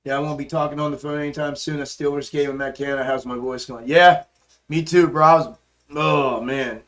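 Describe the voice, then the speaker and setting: Hoarse male livestream host, easygoing, slightly high voice, casual market chatter. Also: fairly even intonation, fairly quick, fairly bright tone. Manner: laid-back, calls viewers bro and man. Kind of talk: casual live chart commentary and chat replies.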